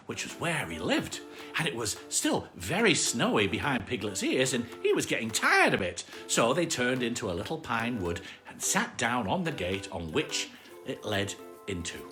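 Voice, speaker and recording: A playful storytime reader with a mid-pitched voice that swoops dramatically. The delivery is live and slightly stumbling, with faint music behind it. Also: huge pitch swings, moderate pace, pushed and bright. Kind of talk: animated children's story reading with asides to viewers.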